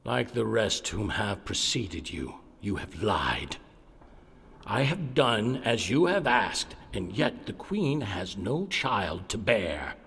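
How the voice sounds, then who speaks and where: Stern husky king, slow, weighty and imperious, threatening, heard in a long reverberant hall. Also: fairly low pitch, big pitch swings, a bit bright, full character voice. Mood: menacing. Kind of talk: dramatic audio drama acting.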